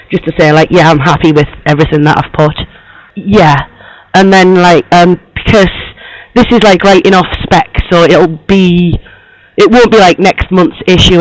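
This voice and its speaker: A man putting on the voice of a young woman magazine journalist, hoarse, rambling with lots of fillers on a prank call. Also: on the high side, close to an everyday voice, low-quality recording. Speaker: prank caller posing as interviewer.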